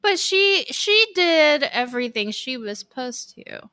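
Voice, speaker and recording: A young woman listening to a friend's story, jumping in with quick questions and blunt one-liners. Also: high voice, very animated, sharp tone, no echo, studio quality. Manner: reactive, quick interjections and blunt quips. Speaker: listener in a chat. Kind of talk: casual chat.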